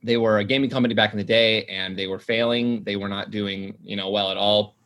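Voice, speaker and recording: A man's voice: fairly low pitch, fairly even intonation, brisk, a bit bright. A man in his late twenties chatting casually, rambling with lots of fillers and restarts. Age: 20s. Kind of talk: casual chat.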